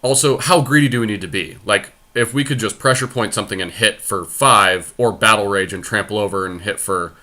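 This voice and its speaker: A man's voice: fairly low pitch, fairly quick, hardly any pauses, sharp tone. A man casually commentating as he builds a card deck, thinking aloud and making decisions as he goes. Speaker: podcast host playing a card game.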